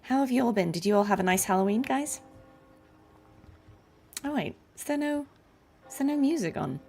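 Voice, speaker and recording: A woman's voice: fairly high pitch, expressive, normal speed, dark tone, husky. A woman game streamer chatting informally and musing aloud to her live viewers. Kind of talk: casual live stream chat.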